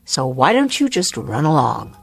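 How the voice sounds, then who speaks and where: An actress playing a haughty, sharp-tongued fashion editor in a radio drama, wordy and sarcastic to hide her nerves. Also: low-pitched, very animated, moderate pace, gravelly, faint music behind, heavily acted. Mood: scornful, bitter.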